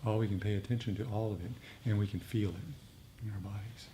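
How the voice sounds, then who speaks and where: Low-voiced man teaching meditation. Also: steady tone, dark tone. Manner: unhurried, repetitive phrasing. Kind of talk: reflective dharma talk and group discussion. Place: group meditation meeting. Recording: noisy live room recording.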